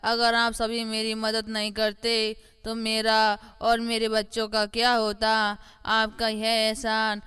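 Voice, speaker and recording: A woman voicing a humble, ageing mother goat in a cartoon fable, speaking in a polite, measured, deferential way. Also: on the high side, monotone, a bit bright, clear, resonant tone, dry recording. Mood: grateful. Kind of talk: Hindi animated moral-story voice acting.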